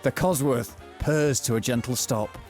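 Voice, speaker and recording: A man narrating a mock-thriller audio drama from a script, telling it in a florid, deadpan way. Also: mid-range voice, measured pace, faint music behind, natural voice.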